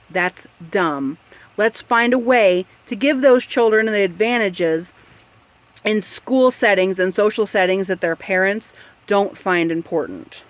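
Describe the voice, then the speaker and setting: A woman with a medium-pitched voice delivers opinion commentary as a show host. She speaks slowly and deliberately, leaning hard on her points with a blunt, exasperated edge. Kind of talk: opinion commentary on a news article.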